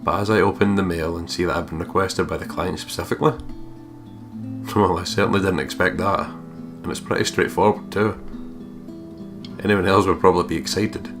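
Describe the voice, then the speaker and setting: Deep-voiced man reading a game aloud. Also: fairly even intonation, moderate pace. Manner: jokey, swears freely, breaks off into ad-libs. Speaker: let's play host. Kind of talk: reading a visual novel aloud with casual commentary. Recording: game music behind the voice.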